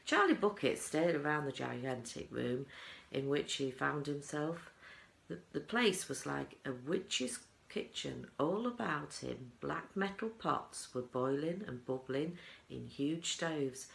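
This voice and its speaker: A woman's voice: low voice, animated, slow, creaky. A woman reading a children's story aloud as a teaching assistant, expressively leaning on the descriptive words. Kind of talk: storybook narration, read aloud. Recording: roomy, echoing indoor sound.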